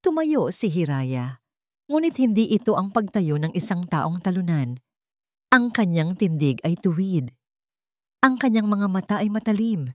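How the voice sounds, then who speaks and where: A woman narrates a dramatic story in a scripted storytelling style. Her voice sits on the low side, and the recording is dry with little room sound. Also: very animated, measured pace, warm tone, no echo.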